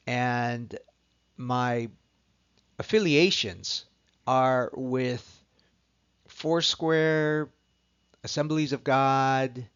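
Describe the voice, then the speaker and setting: A middle-aged man from Southern California, a course instructor introducing himself in a recorded lecture, measured and earnest with frequent pauses. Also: medium pitch, creaky, a bit bright, dull audio.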